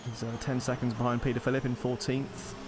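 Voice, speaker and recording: A young man commentating an online racing league in a relaxed, chatty, self-deprecating way. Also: mid-range voice, quick pace, music in the background.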